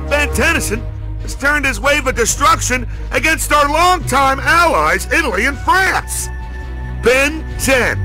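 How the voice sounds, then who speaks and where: An actor voicing a pompous, outraged TV news pundit in a video game, delivering sensationalist commentary in a bombastic, indignant manner. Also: male voice, high voice, bright, forceful tone, exaggerated voice. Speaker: news anchor.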